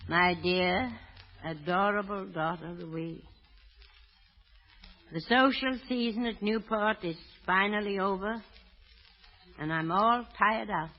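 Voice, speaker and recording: An older actress playing a poor, anxious, doting old woman who sells apples, with halting, fretful delivery in a 1930s radio drama. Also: medium pitch. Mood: tender, muttering. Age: 60s or older. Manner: halting, fretful, muttering to herself. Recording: dull vintage radio recording.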